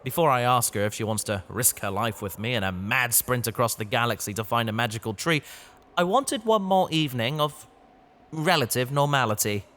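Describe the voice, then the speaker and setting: Determined, kindly spaceman male actor for sci-fi audio drama: mid-pitched forward voice, sincere, slightly awkward conversational delivery. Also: animated, brisk, a bit bright, lightly acted. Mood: reflective. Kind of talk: science fiction audio drama acting.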